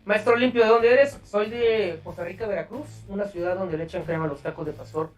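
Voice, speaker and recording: A man's voice: high-pitched, a little fast, recorded from a distance, faint music behind. A man with a Mexican accent hosting a podcast in a casual, slangy, profane way. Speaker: podcast host.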